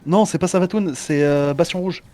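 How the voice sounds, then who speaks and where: A man's voice: a little high, normal speed, mellow, slight background noise. A young man chatting casually with friends over a gaming voice call, explaining how to get gear and restarting his sentences often. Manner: explains game mechanics, many restarts. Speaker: gamer in voice chat.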